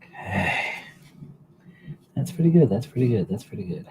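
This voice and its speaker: A man hosting a livestream, chatting casually and muttering quiet asides to himself as he paints. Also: fairly low pitch, sing-song, moderate pace, heavy background noise. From Canada. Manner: soft, muttering to himself, drifting between quiet asides and chat. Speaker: livestream host painting miniatures. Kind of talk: casual chat while painting.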